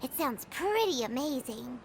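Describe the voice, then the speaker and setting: A woman playing a small, excitable, childlike fairy companion in a video game, with a squeaky voice and an exclamatory manner. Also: a little slow, a little hiss or hum, heavily acted, rough recording. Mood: excited, curious. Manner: refers to self in third person, exclamatory. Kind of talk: video game character acting. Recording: background music throughout.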